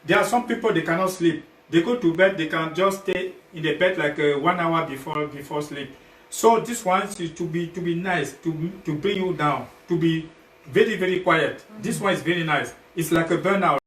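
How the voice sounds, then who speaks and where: Halting Cameroonian man, echoey livestream. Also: a little high, fairly bright tone. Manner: halting, repetitive, rephrasing phrases several times. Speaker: health product sales partner, guest presenter. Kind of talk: informal livestream product pitch. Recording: echoey, noisy, distant livestream mic.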